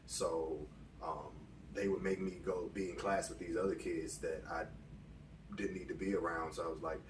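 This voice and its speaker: A man's voice: deep voice, steady tone, moderate pace. An American man in his late twenties telling personal stories in a casual, candid, colloquial way as an interview guest. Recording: echoey room, distant mic, background noise.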